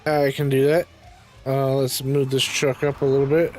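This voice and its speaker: A man with a raspy voice, casually commenting to himself as he plays a game, clearing his throat now and then. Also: medium pitch, flat delivery, moderate pace, darkish tone, soft background music. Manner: muttering to himself while playing, frequent ums and throat-clearing. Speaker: game streamer.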